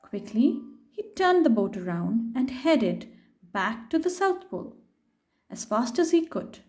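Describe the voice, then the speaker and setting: A woman reading a picture book aloud to children in an expressive, unhurried way, pausing to put questions to her young listeners. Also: on the high side.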